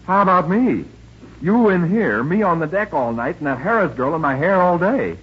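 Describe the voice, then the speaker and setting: A man's voice: on the high side, sing-song, moderate pace, husky. An actor in a 1940s radio drama playing an exasperated, flustered young man who sputters and blusters. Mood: irritated, defensive. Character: exasperated, flustered young man secretly sheltering a stowaway woman. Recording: muffled, noisy 1940s radio recording.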